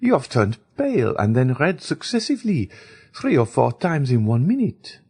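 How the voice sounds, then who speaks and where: A man voicing a frank, worldly middle-aged French baroness in a raised, lilting falsetto, reading an audiobook. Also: wildly swooping pitch, moderate pace, darkish tone, husky, exaggerated voice. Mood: chatty.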